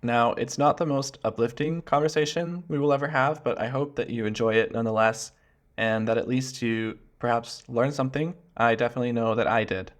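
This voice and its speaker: A man hosts a podcast, first reading a prepared introduction and then putting questions to his guest. He speaks clearly and earnestly, with a friendly manner. His voice has an average pitch for a man. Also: fairly even intonation, brisk. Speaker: podcast host. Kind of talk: scripted intro and interview questions.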